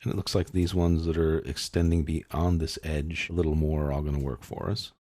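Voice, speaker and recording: A man giving an informal, unscripted step-by-step software tutorial, explaining and thinking aloud as he works. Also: a bass voice, little pitch movement, brisk, no echo.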